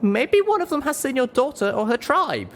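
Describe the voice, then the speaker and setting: A very high, squeaky young mare as a male reader puts her on, bashful and easily flustered in a storybook adventure. Her earnest pleas break into stammering protests, swinging widely in pitch over a noisy background. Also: sing-song, normal speed, a bit bright, exaggerated voice. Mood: earnest, pleading. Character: flustered young female pony narrator-heroine, bashful and pleading.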